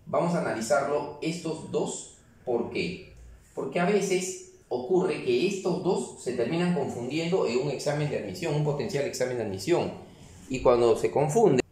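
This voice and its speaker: A man's voice: fairly high pitch, expressive, moderate pace, darkish tone. A man teaching a grammar class, explaining step by step and checking in with the students. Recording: very echoey room, mic far off.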